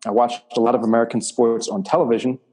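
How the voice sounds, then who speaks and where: A man who grew up in Germany and Spain, a coach answering interview questions in a measured way with frequent fillers. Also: medium pitch, flat delivery, mellow, husky. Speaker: athletics coach.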